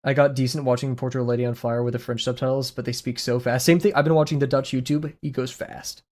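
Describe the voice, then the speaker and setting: A man's voice: medium pitch, fairly even intonation, fast, a little mellow. A young man streaming from a small home room as he draws, chatting in a rambling, casual way full of fillers and self-deprecating asides into a headset mic. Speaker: art streamer. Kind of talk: casual livestream chat while drawing. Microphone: headset with boom mic.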